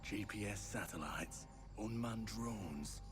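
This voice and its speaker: An actor playing a hard-bitten, scornful, menacing soldier in a scripted game cutscene. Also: male voice, fairly low pitch, slow pace. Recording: loud music behind the voice.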